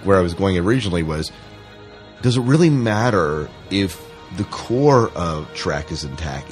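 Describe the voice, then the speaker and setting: Low-voiced male podcast host, music faintly behind. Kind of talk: casual co-hosted chat.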